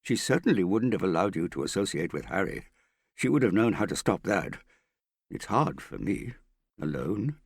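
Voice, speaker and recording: A man's voice: low voice, sing-song, a little fast, husky, no room sound, studio quality, voice pushed up, heavily acted. A man voicing a vain, theatrical middle-aged father in an audiobook, wheedling and self-pitying with a pompous, affected way of talking. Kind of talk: audiobook character dialogue.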